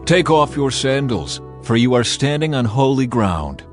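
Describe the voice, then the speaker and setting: Guy reading as god in a bible audio drama, solemn and authoritative, really expressive pitch, faint music behind. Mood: stern, commanding. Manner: authoritative, declarative, measured. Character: God, solemn commanding male deity speaking from fire.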